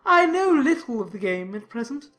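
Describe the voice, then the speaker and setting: A self-important young gentleman, acted by a woman, high in pitch, with music faintly behind. He is pompous, formal and obsequious, a figure from a period audiobook drama. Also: big pitch swings, normal speed. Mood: pompous, eager.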